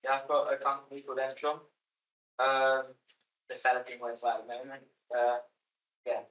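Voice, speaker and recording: A man in his thirties, speaking haltingly with many fillers about his small business. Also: medium pitch, completely flat delivery, a bit bright. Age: 30s. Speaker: guest, small business maker. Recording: dull roomy sound from a distant mic.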